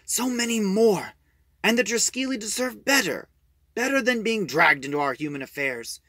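A man's voice: sits high, lively intonation, normal speed, bright, forceful tone, no room sound, clean studio sound, voice pushed up, heavily acted. A man voicing a weary, principled old adventurer in an audio drama retelling, earnest and declamatory. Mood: regretful.

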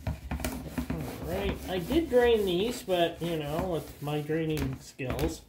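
Slow, rambling woman with a cold. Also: low voice, noisy background. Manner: rambling, self-deprecating, halting with fillers. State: has a cold. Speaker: garage channel host. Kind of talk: casual hands-on DIY narration. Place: outdoor work table.

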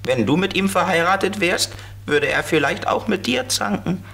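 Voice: mid-range voice, lively intonation, moderate pace, vocal fry, fairly bright tone, breathy edge, exaggerated voice. An actor playing a cheeky, know-it-all flying fantasy friend in a children's radio play, playful and explanatory. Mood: explaining.

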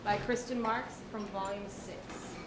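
Echoey noisy room, woman, fairly high pitch, dramatic literary reading. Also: steady tone, unhurried. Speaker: drama student or college staff reader. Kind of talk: dramatic reading of a short story. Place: indoor public venue reading. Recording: echoey, noisy live venue recording.

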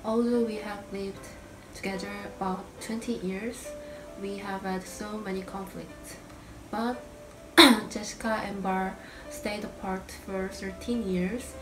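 Young Korean woman, echoey room. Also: mid-range voice. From South Korea. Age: 20s. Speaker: student interviewee.

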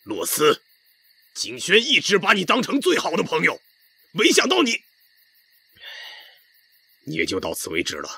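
A man's voice: sits high, huge pitch swings, measured pace, creaky, long pauses, sharp tone, husky, full character voice. A man playing a stern, suspicious army division commander in a Republican-era Chinese military radio drama. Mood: cold menace. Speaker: amateur audio drama voice actor.

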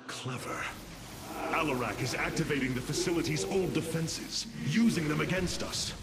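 A man's voice: on the high side, moderate pace, very noisy, lightly acted. An actor voicing a noble, commanding male alien military leader in a video game, formal and authoritative. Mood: urgent command. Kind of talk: video game character acting.

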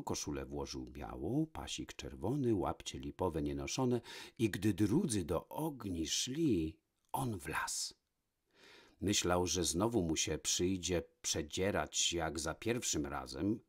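A man tells a fairy tale in a fairly low, raspy voice. His intonation swings widely as he narrates. The delivery is dramatic, shifting between hushed and emphatic phrasing. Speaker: storyteller. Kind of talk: expressive fairy-tale narration, read from a book.

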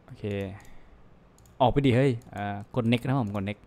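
A man giving a casual step-by-step software tutorial into a computer microphone, ending nearly every phrase with polite particles. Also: mid-range voice, normal speed. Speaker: tutorial host. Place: indoors at a computer, voice over screen capture.

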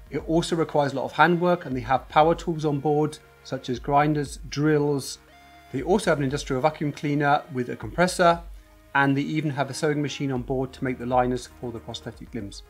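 A man in his forties presenting a scripted company explainer to camera in a dark studio, earnest and steady, gesturing with his hands as he talks. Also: on the high side, husky. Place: dark studio set with a generator on display. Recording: faint music bed under the voice.

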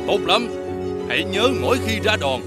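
Sly, swooping cartoon gecko. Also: male voice, sits high, big pitch swings, moderate pace, loud music behind the voice. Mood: coaxing, encouraging. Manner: insinuating, coaxing, with theatrical emphasis. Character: sly talking gecko, male, scheming, goading martial mentor. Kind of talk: animated fairy tale voice acting.

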